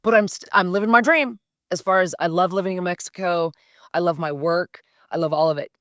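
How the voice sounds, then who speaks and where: A woman's voice: on the low side, a little fast, no room sound, clean studio sound. A woman, hosting a podcast and telling a personal story in a blunt, emphatic, swearing-laced casual style. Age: 50s. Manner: blunt, profane, animated and emphatic. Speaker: podcast host.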